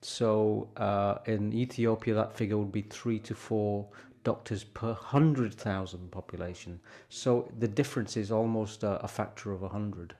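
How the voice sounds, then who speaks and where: A middle-aged man, a hospital consultant, explaining with measured good humour in a radio interview. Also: fairly low pitch, steady tone, dark tone. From born in London, grew up in North India. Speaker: hospital consultant doctor, guest.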